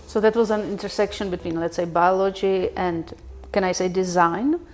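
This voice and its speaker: A woman with a slightly low voice hosts a podcast interview. She talks in a chatty, conversational way and encourages her guest with follow-up questions. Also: normal speed. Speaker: podcast host.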